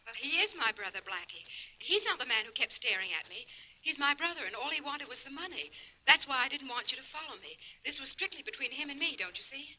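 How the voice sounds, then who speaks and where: Sharp, bright pleading sister on telephone. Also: female voice, high voice, animated, fast talker, heavily acted, poor audio. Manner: earnest, pleading. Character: pleading, protective sister, evasive young woman. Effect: telephone filter. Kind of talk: 1940s radio acting.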